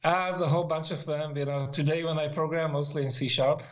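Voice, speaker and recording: A man's voice: a little high, fairly even intonation, fast talker. A middle-aged man from Israel whose first language is Hebrew, explaining things casually and a bit hesitantly as an interview guest. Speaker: interview guest, software developer.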